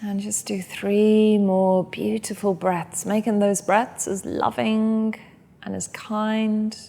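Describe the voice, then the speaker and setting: A woman who teaches yoga, leading a guided meditation in a soft, gentle, unhurried way. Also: mid-range voice, little pitch movement, creaky, long reverb tail. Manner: soft, slow and gentle guidance.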